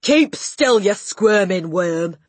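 A bellowing, tyrannical giant headmistress. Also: female voice, low-pitched, animated, fairly bright tone, husky, dry recording, heavily acted. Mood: furious, bellowing. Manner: bellowing, snorting, barking insults in drawn-out emphatic bursts. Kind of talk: audiobook character voice.

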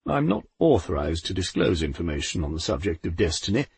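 A man's voice: sits low, very animated, fairly quick, gravelly, darkish tone, husky, dry recording, voice pushed down, heavily acted. A man voicing Death as a nervous, fussy, apologetic clerk of a figure in an audiobook. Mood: flustered. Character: nervous, lightly built male Death with clipboard.

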